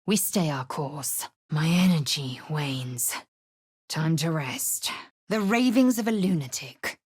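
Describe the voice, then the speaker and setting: An actress playing a fierce, haughty young female alien warrior in a video game, with a raspy voice and clipped, imperious, disdainful delivery. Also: sits low, lively intonation, slow, exaggerated voice. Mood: terse, scornful. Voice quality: raspy, husky. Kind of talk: video game character acting.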